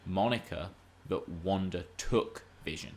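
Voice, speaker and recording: A man's voice: low-pitched, unhurried. A man co-hosting a podcast, discussing film and TV casually in an emphatic, analytical, argumentative way. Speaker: podcast co-host.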